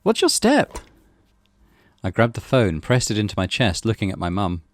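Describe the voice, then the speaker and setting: A man reading visual novel narration as a gaming host, in an expressive, dramatic way. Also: lowish voice, brisk, dry recording, studio quality. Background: music.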